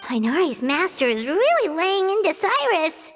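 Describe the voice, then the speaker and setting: A woman voicing a tiny, childlike fairy companion in a video game, squeaky, chirpy and excitable. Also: moderate pace, in a large hall, soft background music. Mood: cheery, wary.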